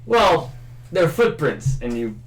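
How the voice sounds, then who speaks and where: A man's voice: a little high, big pitch swings, takes it slowly. A man narrating a tabletop roleplaying game as game master, in an echoing room. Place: indoor room, group game livestream. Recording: roomy, echoing room sound.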